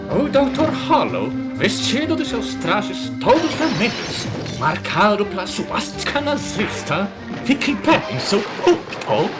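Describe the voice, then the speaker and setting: High, animated male narrator over loud music. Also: unhurried, hardly any pauses. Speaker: audio drama narrator. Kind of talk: dramatic scripted scene narration.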